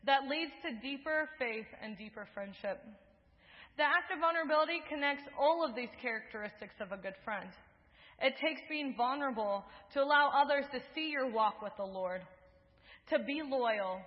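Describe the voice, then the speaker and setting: Young woman preacher, earnest measured delivery, fairly high voice, dull sound with long church echo. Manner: earnest, measured, with list-like cadences. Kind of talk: sermon. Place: church sanctuary. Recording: dull sound, echoing in a large hall.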